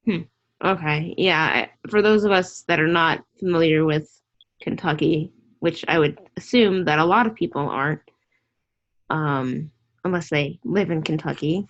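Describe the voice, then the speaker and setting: A woman's voice: sits low, unhurried, dull audio. A woman hosting a casual online interview, chatty and opinionated, rambling with restarts.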